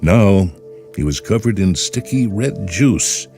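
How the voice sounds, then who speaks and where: A man hosting and narrating a short story in an expressive, unhurried storytelling way. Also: lowish voice. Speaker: host and narrator. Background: faint music.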